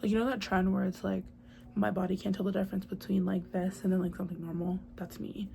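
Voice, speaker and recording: Chatty young woman, gravelly and mellow. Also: medium pitch, flat delivery, fast, faint music behind. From United States. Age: young adult. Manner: chatty, lots of "like" and "girl", drops to a whisper at times. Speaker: vlogger. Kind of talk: casual chat to camera. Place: noisy spot with outside sound. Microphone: built-in device mic, no proper microphone. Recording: faint outdoor noise behind a built-in mic.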